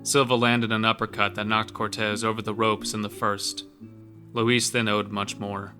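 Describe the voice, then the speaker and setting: Low, forceful male horror narrator. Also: flat delivery, moderate pace, faint music behind. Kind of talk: scripted horror story narration.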